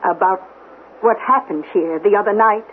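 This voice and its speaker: A woman's voice: medium pitch, moderate pace, close to an everyday voice. An actress playing a determined, pleading mother turned amateur sleuth, insistent and questioning, in a radio drama. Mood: pleading, stubborn. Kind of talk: 1970s radio drama acting. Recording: tinny band-limited old radio recording, hiss.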